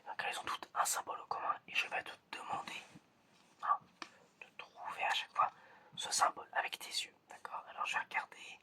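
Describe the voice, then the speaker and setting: A breathy whisper with a bright, crisp edge carries this ASMR roleplay. The speaker is chatty and playful, hesitating and repeating little phrases while acting as an examiner running simple tests. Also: a little fast. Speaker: ASMR creator playing an examiner giving sense tests.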